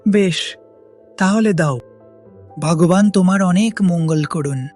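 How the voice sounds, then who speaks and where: A woman's voice: lowish voice, takes it slowly, darkish tone, faint music behind. A woman voicing a poor, humble village beggar in a cartoon fairy tale, with a wistful manner. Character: poor, humble village beggar longing for rich folks' comforts.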